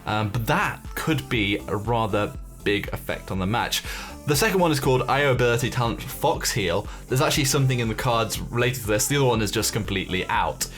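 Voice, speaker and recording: A man with a medium-pitched voice hosts a gaming video over background music. He explains details casually, musing and speculating as he goes. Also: fairly quick, a bit bright. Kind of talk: casual game commentary, talking through details. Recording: music behind the voice.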